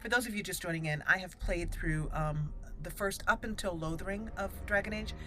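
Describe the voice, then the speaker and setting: A woman's voice: on the low side, fairly quick, over music. Chatty woman narrating her gameplay. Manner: chatty, excitable reactions, talks to party members. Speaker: let's play host. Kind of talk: casual gameplay commentary.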